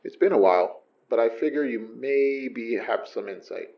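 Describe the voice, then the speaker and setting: An actor in his thirties playing a gruff, weary detective who speaks in dry, terse lines. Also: medium pitch, expressive, normal speed, lo-fi sound. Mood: matter-of-fact. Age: 30s. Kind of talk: audio drama acting.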